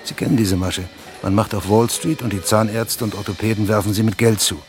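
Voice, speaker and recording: A man's voice: fairly low pitch, brisk, close to an everyday voice. A man playing an intense, insistent investigative journalist who is hungry for an exclusive, in a radio drama. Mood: emphatic briefing. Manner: urgent, insistent, emphatic explaining. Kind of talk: German radio drama dialogue. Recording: music behind the dialogue.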